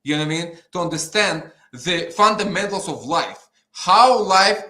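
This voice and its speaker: This is an animated, high-voiced man with an Eastern European accent in a roomy, echoing space. He speaks in a fired-up conversational rant. He is blunt, swears freely and repeats his points for emphasis. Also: moderate pace. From Ukraine, former Soviet Union. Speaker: guest, petition campaigner.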